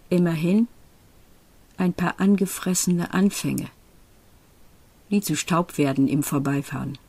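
A woman gives an expressive reading of literary verse. Her voice is dark and soft-edged, and she moves very slowly, setting each phrase down with deliberate pauses. The sound is dry, without any room around it. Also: low voice, slightly rough, no echo. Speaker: poetry reader.

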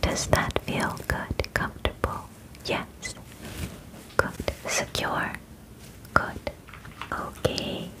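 A young woman voicing a gentle, clinical alien examiner in an ASMR roleplay, whispering softly and formally in a calm, reassuring way, close to the mic. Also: rough recording. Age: young adult. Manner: soft, slow, formal, soothing phrasing. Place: bedroom set, indoors. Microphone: close ASMR microphone.

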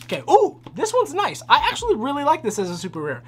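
A woman's voice: high-pitched, huge pitch swings, fast, a little hiss or hum. A woman co-hosting a casual card-opening video, chatty and teasing, laughing often. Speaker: co-host. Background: music.

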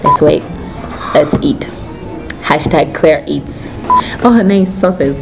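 A woman's voice: deep voice, expressive, slow pace, gravelly, low-quality recording. A young adult woman with a Kenyan accent, casually chatting as a food vlog host in an informal way. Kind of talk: casual restaurant review chat to camera. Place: indoors at a restaurant table. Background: music.

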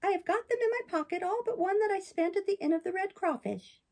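A woman voicing a naughty, excitable wooden puppet boy in a storybook reading, with a high, eager delivery. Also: fast talker.

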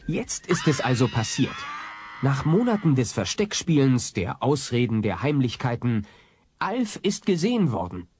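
A man's voice: medium pitch, huge pitch swings, moderate pace, a little mellow, a little hiss or hum. A man narrating an audio drama in a dramatic scripted style.

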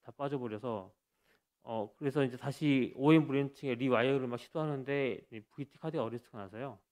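A man at a conference podium walks through a medical case, speaking into the microphone in a mid-pitched voice. He talks haltingly, with frequent fillers and self-corrections. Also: darkish tone. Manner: hesitant, many fillers and restarts. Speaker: cardiologist presenting a case. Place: conference hall stage.

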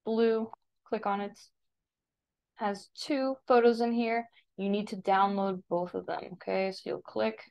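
An American woman teaching a step-by-step software tutorial in an informal, instructive way. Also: medium pitch, steady tone, a little slow, vocal fry, smooth. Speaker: digital design teacher. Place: voice-over for a screen recording.

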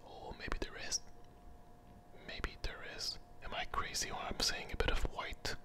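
A person whispering an ASMR candy review close to the microphone, hesitant and often repeating phrases. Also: measured pace.

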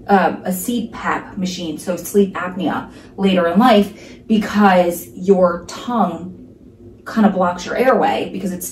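A woman's voice: mid-range voice, darkish tone, echoey room, recorded from a distance, slight background noise, low-quality recording. A woman in her thirties with a New Jersey accent, talking casually and emphatically to a camera in her living room. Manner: chatty, emphatic, many asides. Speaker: vlogger. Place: living room at home, sunlit window. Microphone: camera across the room.

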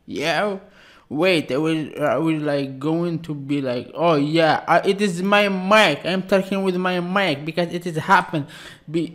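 A man in his thirties from Algeria with an Algerian accent, hosting a casual music reaction in halting, excitable English, talking into a close microphone in a small room. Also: on the high side. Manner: excitable, halting non-native English with restarts. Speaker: reaction channel host. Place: small room with dark blue backdrop. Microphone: studio mic just in front of face. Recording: faint guitar music behind. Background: guitar music playing.

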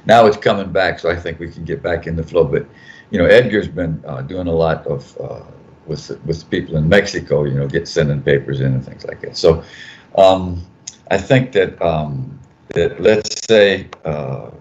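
Older male editor, deep voice, rambling and self-correcting, muffled video-call audio. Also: moderate pace, a little mellow. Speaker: journal editor on a panel. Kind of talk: casual panel talk. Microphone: video call mic.

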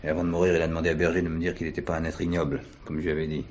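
An actor playing a serious, probing police detective with a troubled conscience in a French radio play. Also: male voice, very deep voice, little pitch movement, very fast, a little mellow, slight background noise. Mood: remorseful.

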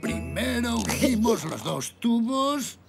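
A man's voice: very high voice, sing-song, slow, creaky, over loud music, exaggerated voice. A man voicing a kindly old grandfather zebra in a children's cartoon, patient and explanatory with thoughtful hums. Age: older.

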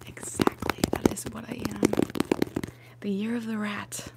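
A woman in her mid-twenties doing ASMR for live viewers in a soft, breathy whisper, chatting gently and repeating words. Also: mid-range voice, level tone, measured pace. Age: 20s, mid-twenties. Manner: gentle repetition of words and sounds.

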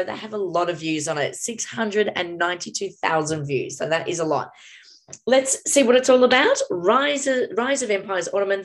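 A woman in her thirties with an Australian accent, chatting casually as a reaction video host while losing her voice, from a small room at a webcam. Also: medium pitch. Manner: chatty, exclaims in surprise.

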